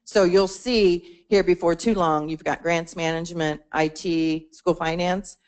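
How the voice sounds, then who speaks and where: A woman's voice: on the low side, steady tone, a little slow, a little mellow. A woman presenting to a membership meeting, explaining a support portal conversationally, with fillers and restarts. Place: meeting room.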